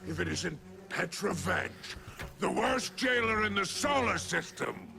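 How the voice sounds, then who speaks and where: An actor playing a sly, scheming male alien crime lord in a video game, gravelly and mocking, with a theatrical drawl. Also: sits high, sing-song, unhurried, over music, heavily acted. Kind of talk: video game cutscene acting.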